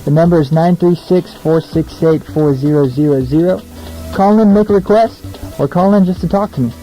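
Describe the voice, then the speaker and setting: Young American male, radio DJ, casual song links, self-deprecating, mellow tone, music in the audio. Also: a little high, normal speed, poor audio. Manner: chatty, informal, self-deprecating. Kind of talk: casual radio show links between songs. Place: small radio studio, alone.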